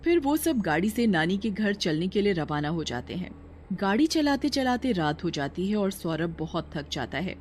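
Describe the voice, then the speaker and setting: Woman storyteller with mid-pitched voice. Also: big pitch swings, moderate pace, a little mellow, a little hiss or hum. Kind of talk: scripted narration of a moral tale.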